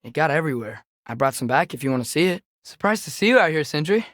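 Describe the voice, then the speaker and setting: Earnest, higher-voiced teenage boy. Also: animated, fast talker, fairly bright tone, no echo, clean studio sound. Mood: curious, casual. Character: curious, earnest teenage boy, adventurer in Norse myth. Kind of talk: naturalistic video game voice acting.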